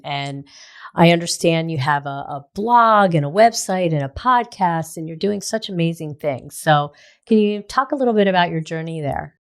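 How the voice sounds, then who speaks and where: A woman's voice: deep voice, clean tone. A woman hosting an interview podcast, upbeat and enthusiastic, asking questions with a few restarts. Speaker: podcast host. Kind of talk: casual interview.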